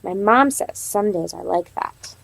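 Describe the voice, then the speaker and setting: A woman reading aloud to a class as a teacher, pausing to ask questions and affirm answers. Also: medium pitch, vocal fry, no room sound. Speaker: elementary teacher practicing a read-aloud lesson.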